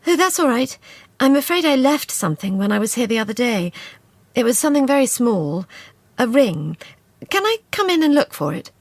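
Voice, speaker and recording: A woman voicing a poised, quick-witted young female spy who talks in a bright, persuasive way. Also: fairly high pitch, very animated, no echo, pitched above the performer's own voice. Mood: breezy.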